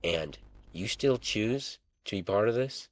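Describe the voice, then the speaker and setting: A man's voice: on the low side, expressive, measured pace, no room sound, voice pushed up. A man voicing a menacing, controlling male gang leader who speaks in challenges in a story. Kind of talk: story character dialogue.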